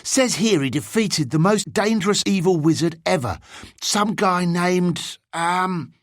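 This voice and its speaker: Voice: medium pitch, lively intonation, measured pace, no echo, studio quality, pitched above the performer's own voice, lightly acted. A narrator voicing a big, earnest, bookish schoolboy in an audiobook, serious and eager to show what he knows. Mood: curious, impressed.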